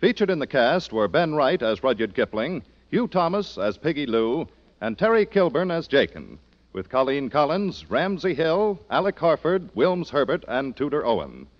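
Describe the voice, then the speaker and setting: A man's voice: medium pitch, very animated, normal speed. An American man announcing formally in a polished 1940s radio style. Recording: muffled old radio, faint music.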